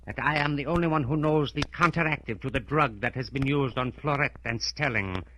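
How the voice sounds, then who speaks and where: An actor playing an imperious, menacing mastermind doctor in an old radio drama, speaking formally and grandly. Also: male voice, medium pitch, sharp tone, poor audio. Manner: formal, measured, commanding, grandiose. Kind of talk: 1930s radio drama acting. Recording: old radio recording with faint noise.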